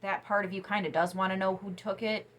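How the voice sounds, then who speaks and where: A young woman giving a casual tarot reading to camera, chatty and emphatic, sniffly after crying, her voice turning soft when she muses. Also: mid-range voice, little pitch movement, fairly quick. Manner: chatty, emphatic, drops to soft musing asides. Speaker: tarot reader.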